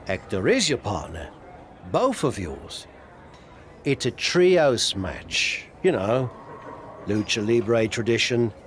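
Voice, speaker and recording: Wry, animated wrestling manager. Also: male voice, mid-range voice, slow pace, a little hiss or hum. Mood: dry, matter-of-fact. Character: wry older male wrestler turned manager, blunt and persuasive. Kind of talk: video game cutscene dialogue.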